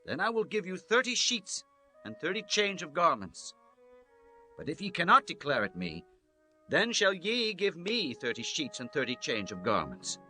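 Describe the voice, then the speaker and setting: Bible drama strongman, proud and headstrong male actor; highish, animated, commanding delivery over faint music. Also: heavily acted, rough recording. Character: headstrong, proud young strongman of ancient Israel. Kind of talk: dramatized scripture acting.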